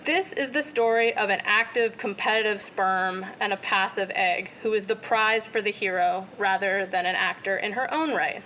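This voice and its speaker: A woman giving an academic lecture in a lecture room, with a loud voice and a lively way of explaining. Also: mid-range voice, moderate pace, few pauses, slight background noise. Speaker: guest lecturer. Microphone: podium microphone.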